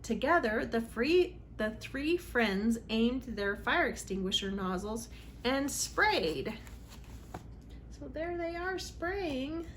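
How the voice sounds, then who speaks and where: A woman's voice: high voice, takes it slowly, slight background noise. A woman reading a storybook aloud for children in a dramatic, emphatic way. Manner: dramatic, emphatic on exciting moments. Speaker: read-aloud host for children.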